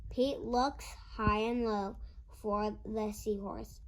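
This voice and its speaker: A young girl reading a picture book aloud in a halting, word-by-word way. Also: medium pitch, steady tone, a little hiss or hum, rough recording. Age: child. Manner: halting, word-by-word early-reader delivery. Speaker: young reader.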